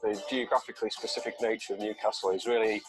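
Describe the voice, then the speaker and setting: A man's voice: fairly low pitch, flat delivery, fast talker, rough recording. A man, a university lecturer, speaking articulately and with enthusiasm in a promotional video. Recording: promo video with music underneath.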